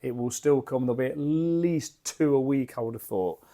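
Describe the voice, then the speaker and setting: A man with a croaky voice from a lingering cough, rambling in a chatty, self-deprecating way as he reviews beer at his home bar into a desk microphone. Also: medium pitch, moderate pace, warm tone.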